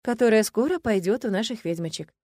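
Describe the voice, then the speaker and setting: A woman voicing a calm, thoughtful grown witch. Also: fairly high pitch, a little fast, no room sound, studio quality. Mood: pensive. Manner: calm, pensive.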